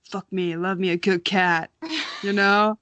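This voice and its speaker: A woman's voice: medium pitch, flat delivery, measured pace, fairly bright tone, smooth, dry recording. A young woman co-hosting a podcast, chatting casually and wryly with a friend. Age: young adult. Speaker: podcast co-host. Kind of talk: casual chat between friends.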